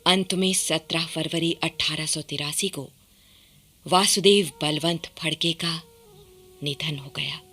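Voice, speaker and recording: A woman's voice: low voice, slow. A woman giving formal, dramatic scripted narration for a radio play.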